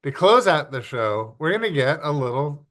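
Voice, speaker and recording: A man's voice: on the high side, very animated, normal speed, a bit bright. A man hosting a TV show, chatting casually and introducing acts in a folksy, enthusiastic, exclamatory way. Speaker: TV show host.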